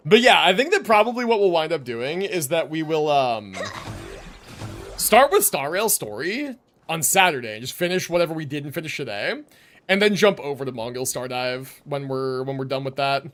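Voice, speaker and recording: A man hosts a casual game livestream on a streaming microphone. His voice sits fairly high and moves around a lot in pitch. He rambles in slang and swears offhandedly. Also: animated, normal speed, a bit bright. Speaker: livestream host. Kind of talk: casual gaming stream chat.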